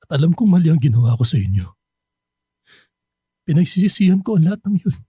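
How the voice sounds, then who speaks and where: An actor playing a remorseful middle-aged father in a radio drama, speaking haltingly and apologetically. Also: a little high, very animated, slightly rough, no echo, studio quality, full character voice. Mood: remorseful, pleading. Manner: halting, soft-spoken, apologetic. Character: remorseful middle-aged father, hesitant, pleading, hiding his true self.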